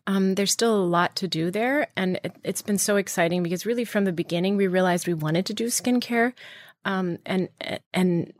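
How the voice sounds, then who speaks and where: A woman's voice: medium pitch, moderate pace, no echo, studio quality. A middle-aged woman from Iran, founder of a beauty brand, answering interview questions with eager enthusiasm and many restarts.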